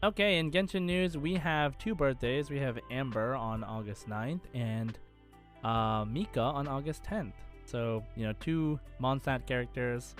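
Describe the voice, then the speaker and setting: A man's voice: mid-range voice, measured pace, over music. A man co-hosting a casual gaming podcast, talking in a rambling, informal way. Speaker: podcast co-host. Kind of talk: casual chat about video game news.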